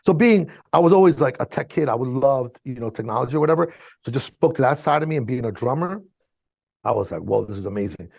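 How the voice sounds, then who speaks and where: A man in his fifties, born in Chile and raised in Miami, whose first language is Spanish, telling his story as a guest in a casual, slangy, animated way. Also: medium pitch, quick pace, gravelly, husky, dry recording. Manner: animated, slangy, swears freely. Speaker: mixing engineer guest.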